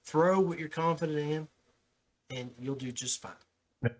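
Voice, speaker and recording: A man's voice: fairly high pitch, a little slow. An American man hosting a podcast, talking casually in folksy, informal speech. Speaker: podcast host. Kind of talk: casual podcast talk and interview.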